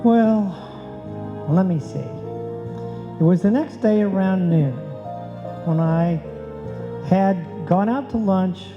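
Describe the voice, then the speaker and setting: A man playing a dry, long-suffering husband with a sardonic, deadpan delivery in an old-time radio comedy. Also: high voice, very slow, pauses often, over music, exaggerated voice. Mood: wry narrating. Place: live performance in a reverberant hall. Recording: dull sound, echoey hall, live performance.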